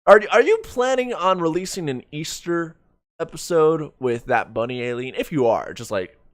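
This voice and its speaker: A man doing casual live reaction commentary as a streamer, excitable, joking and prone to swearing. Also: fairly high pitch, normal speed, dry recording, studio quality. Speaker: streamer reacting to a fan motion comic.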